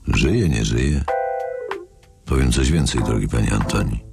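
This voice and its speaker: An actor in a radio drama playing a menacing, philosophical older man who speaks with slow, polite needling. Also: lively intonation, heavily acted. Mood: menacing, musing. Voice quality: very deep gravelly voice. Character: menacing older married man with a grown daughter, coolly philosophical. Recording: studio radio play with music underneath.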